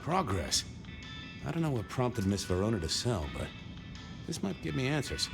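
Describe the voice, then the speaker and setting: A man's voice: medium pitch, big pitch swings, normal speed, vocal fry. A man playing a laconic, probing detective in a noir video game, speaking in clipped, understated lines. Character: probing, laconic male detective questioning a shopkeeper. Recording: music behind the voice.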